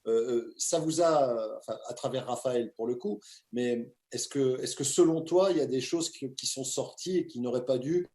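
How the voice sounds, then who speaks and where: A man hosting a casual interview from his home, an emphatic and lively presenter. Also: medium pitch, moderate pace, reverberant. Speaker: host. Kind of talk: casual video-call interview.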